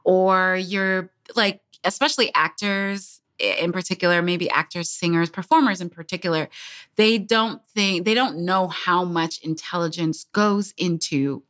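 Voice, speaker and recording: A woman's voice: fairly low pitch, fairly even intonation, measured pace, a bit bright. An American woman co-hosting a podcast in casual chat, speaking in an earnest, encouraging way. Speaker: podcast co-host.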